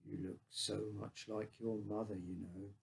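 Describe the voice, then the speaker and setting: A British man reading a story as a withdrawn, grieving father, with a heavy, slow delivery. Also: on the low side, little pitch movement, creaky, slight background noise, pitched below the performer's own voice. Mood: hollow, grieving. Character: withdrawn, grieving father, emotionally dead inside.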